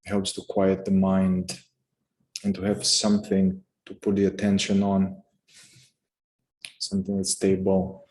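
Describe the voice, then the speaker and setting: Male meditation guide, lower-pitched voice, calm and unhurried, unscripted instruction with space between phrases.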